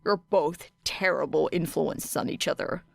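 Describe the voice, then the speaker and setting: A woman voicing a sardonic, put-upon teenage boy in an audiobook, dry, huffy and deadpan. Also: low voice, a little slow, gravelly, no room sound, heavily acted. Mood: sulky, exasperated.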